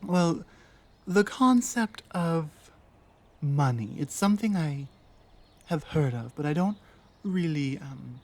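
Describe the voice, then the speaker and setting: A woman voicing a lost, guarded female orc warrior in an ASMR roleplay, speaking earnestly and haltingly with pauses. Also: deep voice, lively intonation, darkish tone, heavily acted. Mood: puzzled. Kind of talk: ASMR roleplay audio drama.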